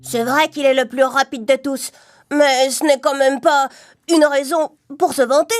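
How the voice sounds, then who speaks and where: A woman voicing an annoyed little forest animal in an animated children's story. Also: high-pitched, unhurried, faint music behind.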